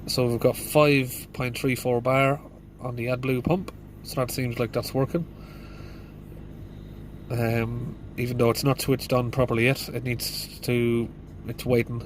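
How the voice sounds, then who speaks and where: A man's voice: medium pitch, little pitch movement, a little slow, a little hiss or hum. A middle-aged man, a mechanic with a congested voice from hay fever, thinking aloud inside a van. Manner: plain, thinking aloud with fillers. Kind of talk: casual diagnostic walkthrough.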